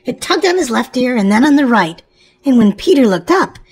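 A woman's voice: a little high, sing-song, fairly quick, darkish tone, slightly rough. A woman hosting a children's show and reading a storybook aloud in an exclamatory way, as if to young children. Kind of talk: animated children's read-aloud narration.

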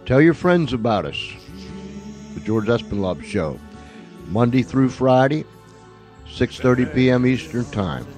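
A man's voice: medium pitch, darkish tone. A man hosting a radio show, chatting casually to listeners in a folksy way and repeating phrases for emphasis. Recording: music under the voice. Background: music.

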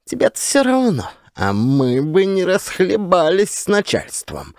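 An exasperated, blustering village elder harangues in a high, swooping voice. He scolds in drawn-out rustic fashion, as in an audio play, recorded dry and close. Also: wildly swooping pitch, dry recording, heavily acted. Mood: indignant, scolding. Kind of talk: dramatised audiobook acting.

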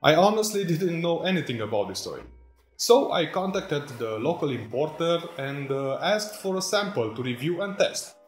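Romanian-accented man in his 30s, casual self-mocking vlogger, slightly high voice, phone mic in a roomy workshop. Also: big pitch swings, normal speed. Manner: chatty, laughs often, self-deprecating asides. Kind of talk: casual vlog to camera. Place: indoor workshop with tools and shelves. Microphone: phone camera mic. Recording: echoey room on a phone mic, faint music.

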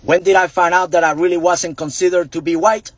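A man in his fifties from Puerto Rico with a Puerto Rican Spanish accent, a native Spanish speaker telling a story from his car in a measured, deliberate way. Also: sits high, steady tone, dry recording. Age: 50s. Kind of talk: storytelling to camera. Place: parked car. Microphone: phone camera close up, earpiece in.

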